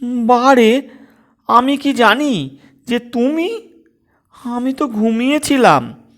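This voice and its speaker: A man's voice: very high-pitched, animated, takes it slowly, fairly bright tone, voice pushed up, full character voice. A man voicing a composed yet pert young daughter-in-law in a comic audio story. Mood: indignant.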